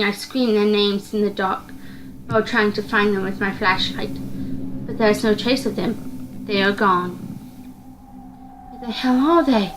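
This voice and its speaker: A woman's voice: mid-range voice, background noise. A woman reading scripted narration from a visual novel aloud, occasionally stumbling and repeating words. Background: clear background music.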